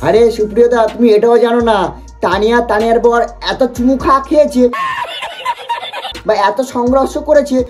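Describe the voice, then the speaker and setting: A person hosting a comic roast in a mocking, exaggerated, excitable way. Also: mid-range voice, normal speed. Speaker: prank video host and roaster. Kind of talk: teasing comic roast commentary. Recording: music behind the voice. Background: music.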